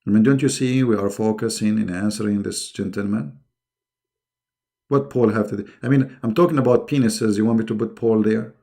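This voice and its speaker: A man's voice: on the low side, steady tone, moderate pace, creaky, mellow. A man who speaks English as a second language, hosting a debate stream, sarcastic and mocking, repeating phrases for emphasis. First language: not English. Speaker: host of a religious debate stream.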